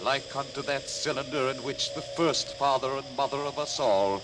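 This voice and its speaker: An actor playing the ancient last survivor of a subatomic race, speaking in solemn, archaic, ceremonial phrases in a 1950s radio drama. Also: male voice, mid-range voice, moderate pace, background noise, lightly acted. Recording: old radio broadcast with music behind.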